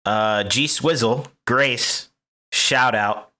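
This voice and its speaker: A man co-hosting a casual podcast chat, jokey and quick to laugh. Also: medium pitch, sharp tone. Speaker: podcast co-host.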